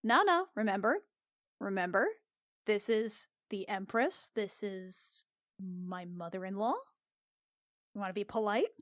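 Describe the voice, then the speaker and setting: A woman's voice: mid-range voice, animated, a little slow, pauses often, no echo, studio quality. A woman playing a protective, defiant young noblewoman who speaks in a pointed, controlled way. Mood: gently coaxing. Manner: pointed, controlled, quietly confronting.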